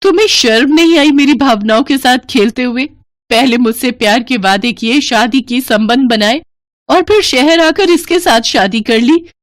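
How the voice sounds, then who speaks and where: A woman's voice: high voice, normal speed, no room sound, clean studio sound, pitched above the performer's own voice, natural voice. A woman voicing an earnest, emotional young daughter-in-law in a family storybook drama. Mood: accusing. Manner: earnest, pleading.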